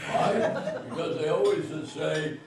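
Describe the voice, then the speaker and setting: A man in his nineties talking at a dinner, halting, with many fillers and chuckles. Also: fairly high pitch, creaky, a little mellow. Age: 90s. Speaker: veteran speaking at a dinner. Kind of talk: rambling after-dinner remarks. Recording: echoey hall from far off, noisy.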